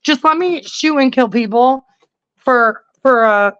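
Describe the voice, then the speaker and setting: A woman with a deep, smoky voice, a guest chatting casually on a podcast. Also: takes it slowly, a bit bright, lo-fi sound.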